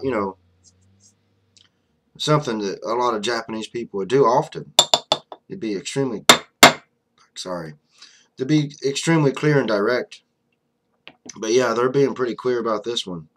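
A man's voice: mid-range voice, normal speed, long pauses. Blunt young man ranting to a webcam. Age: young adult. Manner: blunt, conversational, many fillers like "you know". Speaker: vlogger. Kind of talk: casual political commentary to camera. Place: small room indoors, flag on wall behind. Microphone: webcam at desk.

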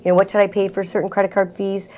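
A woman's voice: medium pitch, little pitch movement, very fast, warm tone. A middle-aged woman, a salon owner, talking conversationally as a guest in a talk-show interview. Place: small TV talk-show set indoors.

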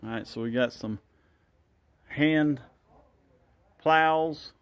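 A man's voice: on the high side, very slow, no room sound. Man narrating a casual barn tour. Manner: rambling, unsure, thinks aloud. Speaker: vlogger giving a walking tour. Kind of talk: casual walk-and-talk narration. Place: open-sided wooden barn outdoors. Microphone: handheld phone camera.

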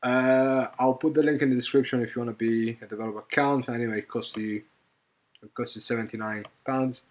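A man's voice: medium pitch, fairly even intonation, a little fast. Man walking through a coding tutorial. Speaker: software tutorial presenter. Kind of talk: informal screen-recorded coding tutorial.

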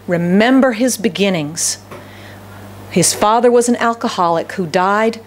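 A middle-aged American woman pastor preaching a sermon from a podium in a church hall, with a loud voice, repeating key phrases and asking the congregation questions. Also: medium pitch, measured pace, slight background noise. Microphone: podium microphone.

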